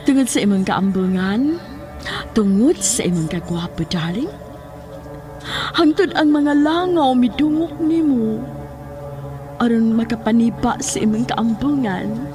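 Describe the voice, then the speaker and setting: High, swooping, obsessed villainess. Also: female voice, sing-song. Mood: sickly sweet. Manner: cooing, drawn-out, swinging into sharp scolding. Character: obsessed woman villain cooing lovingly to a decaying beloved. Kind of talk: horror radio drama acting. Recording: music behind the voice.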